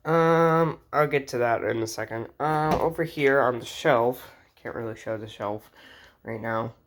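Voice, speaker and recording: A man's voice: mid-range voice, unhurried, slight background noise. A man talking casually and informally as he shows off a collection, rambling as he goes. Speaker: host showing a radio collection. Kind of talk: casual hobby show-and-tell.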